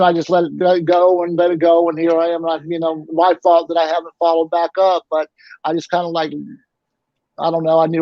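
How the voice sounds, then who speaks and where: A middle-aged man from North Carolina, a guest on a video call, talking haltingly with many restarts and "you know"s. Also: fairly high pitch, no echo, highs cut off. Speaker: guest, transplant recipient. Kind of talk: casual video call interview.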